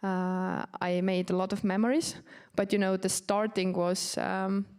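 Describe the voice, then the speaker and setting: Female orientation presenter, native Estonian with Estonian accent, medium pitch, informal and halting delivery. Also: level tone, darkish tone, smooth. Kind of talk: informal presentation to newly arrived students.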